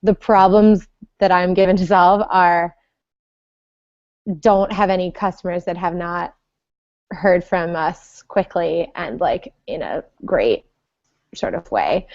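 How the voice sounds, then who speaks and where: Low-voiced woman thinking aloud. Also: darkish tone, no room sound, lo-fi sound. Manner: hesitant, many ums, thinking aloud. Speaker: support manager, podcast panelist. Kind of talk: casual panel chat.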